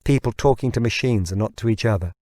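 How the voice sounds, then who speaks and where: A man's voice: lowish voice, fast, mellow, no room sound, clean studio sound. A man reading a novel aloud as scripted audiobook narration.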